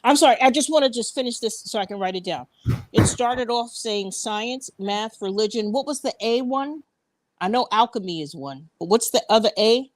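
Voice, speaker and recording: A woman co-hosting a casual live-stream panel chat, speaking firmly and directly, with quick affirmations. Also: a little high, animated, dry recording.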